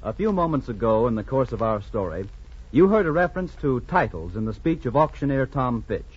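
A man giving a formal, measured scripted announcement in 1940s radio style. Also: medium pitch, big pitch swings, a little mellow, husky. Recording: muffled 1940s radio recording.

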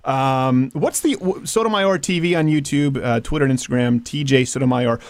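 A man from Canada hosting a comedic talk show, joking and animated with quick asides. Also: medium pitch, no room sound. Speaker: podcast host.

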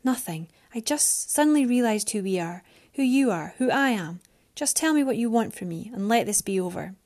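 A woman's voice: on the high side, animated, moderate pace, darkish tone. A woman voicing a defiant, frightened young woman who speaks with rising heat.

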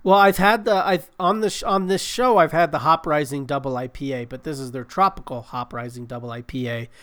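Brief: podcast co-host, man, congested nose, crude rambling banter, slightly higher voice. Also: a little fast, fairly bright tone. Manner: rambling, profane, self-mocking. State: stuffed-up nose from a cold. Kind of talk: casual chat.

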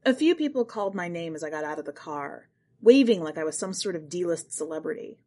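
A woman narrating literary short fiction from a script, with expressive, dramatic phrasing. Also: lowish voice, brisk. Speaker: podcast host and fiction narrator.

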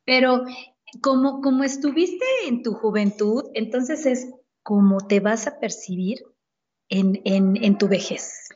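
A woman hosting a casual talk about health, easygoing and quick with agreeing murmurs. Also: on the high side, darkish tone. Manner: conversational, laughing asides, frequent "ujú" backchannels. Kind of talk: casual wellness chat. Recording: dull lo-fi audio.

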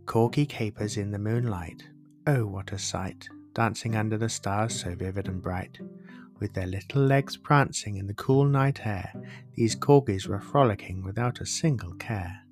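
Male bedtime storyteller over soft music. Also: fairly low pitch, moderate pace. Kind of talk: scripted bedtime story narration.

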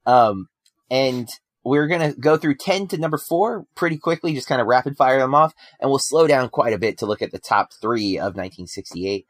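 A man co-hosting a casual film podcast, relaxed and conversational, with frequent ums and you-knows. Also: mid-range voice, normal speed, no room sound, clean studio sound. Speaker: podcast co-host.

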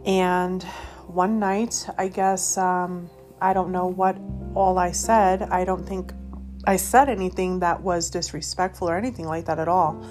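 A woman from Colorado sharing her personal testimony as a ministry host, speaking in an earnest, halting way with many pauses and fillers. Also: fairly low pitch, level tone, a little mellow, faint music behind. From Colorado, USA.

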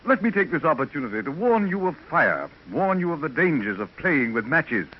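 Hammy grandiose old actor sidekick, highish voice that swoops everywhere, dull old radio sound. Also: male voice, big pitch swings, fairly quick, breathy edge. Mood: grandiloquent. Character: florid, theatrical old-ham comic sidekick. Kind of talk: 1940s radio acting. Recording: muffled old radio, faint noise.

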